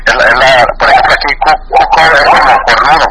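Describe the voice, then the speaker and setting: A man from Mexico City phones in to a radio show, speaking in a Mexican accent at an ordinary pitch. He hesitates and restarts often, padding with fillers. The call comes through a phone line over clear background noise. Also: fast. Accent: Mexican Spanish. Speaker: caller.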